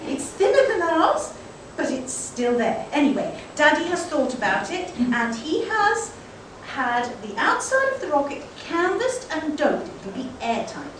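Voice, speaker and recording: Woman playing very high-pitched, haughty Victorian young lady. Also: very animated, a little slow. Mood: condescending. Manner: condescending, exclamatory. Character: eager, haughty Victorian professor's daughter, confident in nonsense science. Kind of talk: live amateur comic radio play. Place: live convention performance in an echoey room. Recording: roomy echoing hall, distant mic, faint noise.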